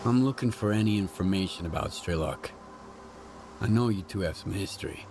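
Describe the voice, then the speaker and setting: A gruff, wary stalker from a video game, low and gravelly. He speaks tersely and with suspicion. There is clear buzzing ambience around him. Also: brisk. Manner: terse, questioning. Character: gruff, wary male wasteland stalker seeking information. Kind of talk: video game cutscene acting. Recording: noisy ambience, insect-like buzzing.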